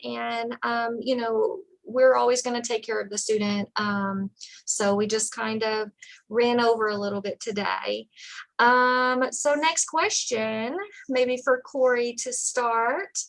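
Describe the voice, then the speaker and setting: Slow, high-voiced woman on a video call. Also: creaky, distant mic, rough recording. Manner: slow, halting, many fillers. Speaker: counseling development specialist. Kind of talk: casual video call panel. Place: office.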